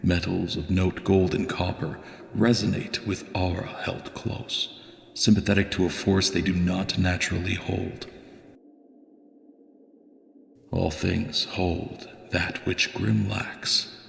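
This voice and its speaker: Brooding male scholar-inventor voiced by an actor, very low and heavily creaky, poetic journal monologue with echo. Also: little pitch movement, full character voice. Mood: contemplative. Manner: measured, archaic, poetic diction. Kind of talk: theatrical dramatic monologue, fandub narration. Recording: echoey, reverberant voice-over.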